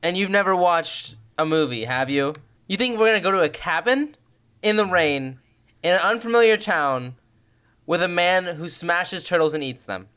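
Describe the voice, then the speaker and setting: A woman's voice: fairly low pitch, animated, normal speed, fairly bright tone, clear, resonant tone. A woman playing a bossy, suspicious, protective older sister in a tabletop roleplay, sarcastic and challenging. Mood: stern, challenging.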